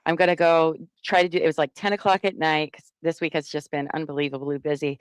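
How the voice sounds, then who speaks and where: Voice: mid-range voice, flat delivery, quick pace, smooth, no echo. A host in a casual chat on a video call, friendly, swearing offhandedly and drawing out key words.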